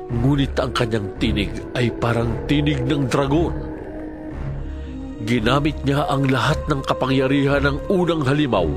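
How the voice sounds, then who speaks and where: A man with a fairly high voice gives a dramatic scripted Bible narration. He phrases solemnly and deliberately, building and easing with theatrical weight. Music plays clearly behind him.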